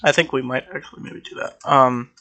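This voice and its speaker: Bright-voiced young man, a bit congested. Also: medium pitch, fairly quick. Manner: rambling, relaxed, many ums. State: slightly congested. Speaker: Minecraft server player. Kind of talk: casual gameplay walkthrough.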